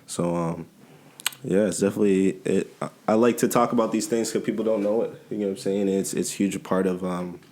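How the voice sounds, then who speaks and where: A man co-hosting a podcast, relaxed and conversational, often checking in with the listener. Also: low-pitched, brisk. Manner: laid-back, keeps asking "you know what I'm saying". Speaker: podcast co-host. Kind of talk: casual chat.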